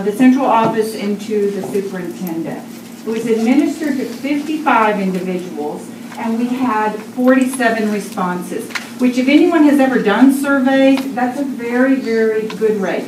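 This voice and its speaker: Loud-voiced older woman reporting in an echoey room. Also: fairly high pitch. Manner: loud voice, hesitant with fillers. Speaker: presenter of a survey report to a school board. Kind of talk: formal report presentation. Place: boardroom meeting. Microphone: room mic from a distance. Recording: echoey room, distant, noisy.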